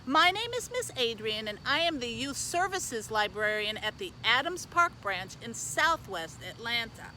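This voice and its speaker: Lady librarian doing a kids book talk, high lively voice, some street noise behind her. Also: normal speed, few pauses, low-quality recording. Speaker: youth services librarian presenting a book. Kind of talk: book talk for children with read-aloud.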